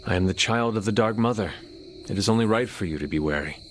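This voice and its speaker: A man playing a wary ancient warrior in a video game, speaking formally and in a measured, stilted way. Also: lowish voice, a little hiss or hum, close to an everyday voice. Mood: wary, probing. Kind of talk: cinematic video game dialogue.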